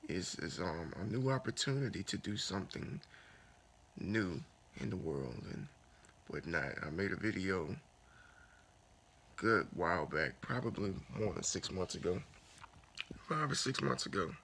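A man in his thirties lying in bed in a dark bedroom, talking softly and earnestly into a phone held close in a raspy morning voice, then praying aloud. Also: deep voice, very animated, a little hiss or hum. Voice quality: gravelly. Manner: soft, unhurried, earnest with many restarts. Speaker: fitness vlogger. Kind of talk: casual morning talk and spoken prayer. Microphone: phone camera held close.

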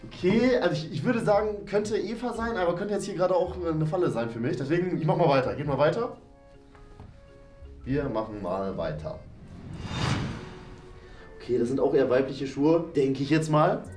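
A young man hosts a casual game video in a rather high voice. His intonation swings widely as he thinks out loud. The room echoes around him and music plays underneath. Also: expressive, moderate pace, long pauses. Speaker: game show host and player. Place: small decorated room indoors. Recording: roomy indoor echo, music underneath. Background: background music.

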